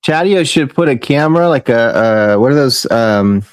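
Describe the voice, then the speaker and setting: A man's voice: mid-range voice, lively intonation, smooth, dry recording. Guy cracking jokes in a group chat, dry sound. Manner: joking, quick quips. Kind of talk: casual chat.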